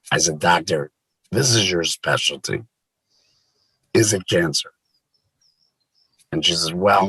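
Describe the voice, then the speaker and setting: A man in his sixties from Maryland, USA, telling his personal story as a guest, speaking through a voice prosthesis, halting and repeating words. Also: low voice, fairly bright tone, husky, no room sound, low-quality recording. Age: 60s.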